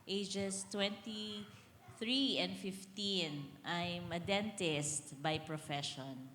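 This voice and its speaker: A woman in her late forties calmly introducing herself as a dentist and mother of two. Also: on the low side, very slow. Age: 40s. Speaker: guest, dentist. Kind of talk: self-introduction. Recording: long hall reverb, faint noise.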